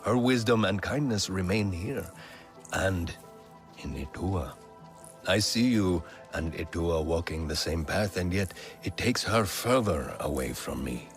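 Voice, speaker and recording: A stern, grieving alien clan elder from a video game, his voice very low. He speaks in a grave, measured way, with faint music underneath. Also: expressive. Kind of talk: video game cutscene acting.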